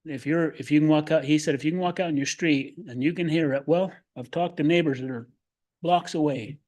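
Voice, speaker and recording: A man's voice: a little high, fairly quick, darkish tone. Rambling, folksy older man. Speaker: public commenter, local resident. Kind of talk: casual reminiscing public comment. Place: city council chamber.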